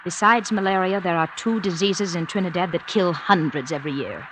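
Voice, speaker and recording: An actress playing a scheming, greedy wife in vintage radio drama, insistent, persuasive and commanding. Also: female voice, fairly low pitch, a little fast, lightly acted. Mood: cold, insistent. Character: scheming, greedy wife plotting her husband's murder. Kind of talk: 1940s-50s radio drama acting. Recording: noisy old radio recording.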